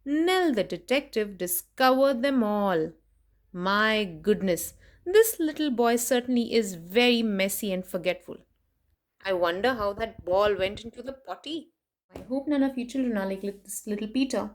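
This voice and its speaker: A woman doing an expressive children's picture book read-aloud, playful in her emphasis, with chatty asides to her young listeners. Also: medium pitch, moderate pace. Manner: animated, sing-song rhyme reading with playful emphasis. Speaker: children's story reader and host.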